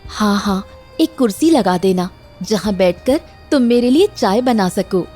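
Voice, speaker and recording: A woman's voice: mid-range voice, animated, a little slow, warm tone, faint music behind. A woman voicing a sincere, hopeful young village wife in a cartoon moral story, with earnest emotion. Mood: playful.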